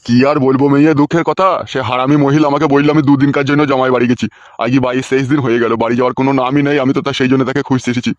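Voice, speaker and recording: A man's voice: mid-range voice, little pitch movement, rapid-fire, no room sound, muffled recording, natural voice. Man acting a lovesick old uncle, racing pleas. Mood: pleading, tearful. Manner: wailing, pleading. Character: lovesick, melodramatic old village uncle, pleading and helpless. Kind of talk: comedy cartoon dialogue.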